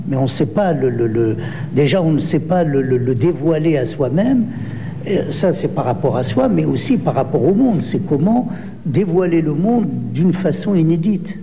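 An older man in his seventies, from Algeria, speaks slowly in a very dark, mellow voice, pausing and hesitating often as he answers interview questions. A long reverb hangs on the recording, with faint noise behind him. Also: fairly high pitch. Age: 70s. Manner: slow, hesitant, many pauses and fillers. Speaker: poet.

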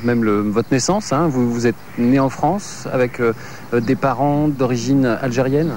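A man's voice: mid-range voice, a little slow, a little mellow, slight background noise. A male interviewer asking long, hesitant, rambling questions.

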